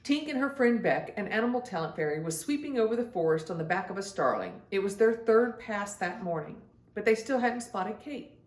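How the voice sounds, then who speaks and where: A woman reading a children's story aloud in an expressive storytelling style, speaking as if to young listeners. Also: medium pitch, brisk, roomy sound. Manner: addresses young children directly, acts out dialogue. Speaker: children's storybook reader and host.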